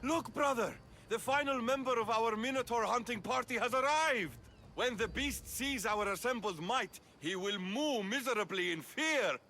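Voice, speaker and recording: An actor playing an excitable, bombastic warrior brother in a video game. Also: male voice, extremely high pitch, moderate pace, slight background noise, full character voice. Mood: excited. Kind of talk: video game character acting.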